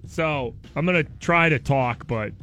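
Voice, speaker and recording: A high, bright male voice with a sore, scratchy throat, talking fast and excitedly as a morning radio co-host. He jokes and teases in loose, sarcastic banter, and keeps going while his voice gives out. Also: soft background music.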